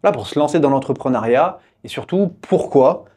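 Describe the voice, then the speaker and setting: A young man hosts a casual podcast conversation. His voice is mellow and of medium pitch, and he talks very fast as he puts informal questions to his guest. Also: lively intonation, breathy edge. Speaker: podcast host. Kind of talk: casual chat interviewing.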